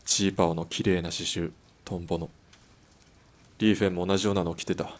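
An actor playing a steady, perceptive hired sniper in a radio drama, with blunt, rough masculine speech. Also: male voice, low voice, a little fast, lightly acted. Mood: probing. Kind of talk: Japanese radio drama acting.

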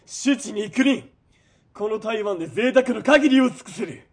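A man playing a ruthless, greedy military police commander in a period radio drama, domineering and sneering, moving between cold murmurs and shouting. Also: high voice, expressive, a little slow, husky, exaggerated voice. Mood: manic, menacing.

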